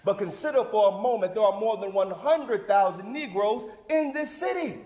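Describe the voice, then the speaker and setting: Guy acting as older community leader giving a rousing folksy speech, really high voice for a man, super echoey hall. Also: normal speed, darkish tone, close to an everyday voice. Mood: solemn, urgent. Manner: preacherly, emphatic, folksy, rousing cadence. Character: John Wesley Dobbs, fervent older civic leader rallying a church crowd. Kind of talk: theatrical monologue, oratorical speech. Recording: echoey hall with long reverb.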